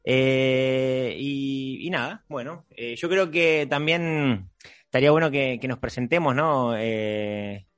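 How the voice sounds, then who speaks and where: A middle-aged man chatting casually as he introduces things, in a halting, rambling way, often restarting his phrases. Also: mid-range voice, fairly bright tone, no room sound. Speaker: host, marine mammal trainer.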